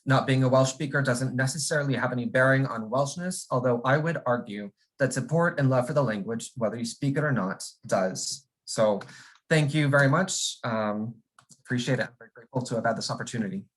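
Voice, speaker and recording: A man giving an academic conference presentation over a video call, measured and pausing often with ums and restarts. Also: medium pitch, rough recording.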